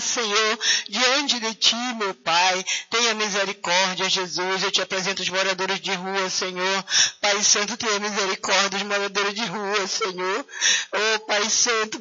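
A man's voice: high-pitched, pushed and bright. A man leading a fervent intercessory prayer, pleading and calling out again and again between phrases. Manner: pleading, with constant repeated invocations. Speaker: prayer leader. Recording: dull lo-fi recording.

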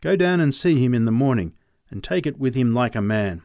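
A man's voice: mid-range voice, lively intonation, brisk, a little mellow, dry recording, studio quality, lightly acted. A man doing a terse, stern father. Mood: firm.

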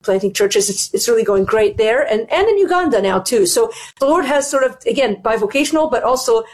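A woman's voice: on the high side, lively intonation, brisk. A middle-aged woman from Lebanon, a doctor and ministry leader, chatting as a radio guest with many fillers and restarts. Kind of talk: casual interview chat.

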